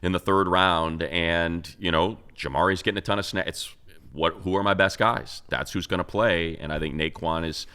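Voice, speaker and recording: A man's voice: sits low, steady tone, normal speed, sharp tone, clear, resonant tone. A man hosting a sports show, giving casual, emphatic football analysis in conversation.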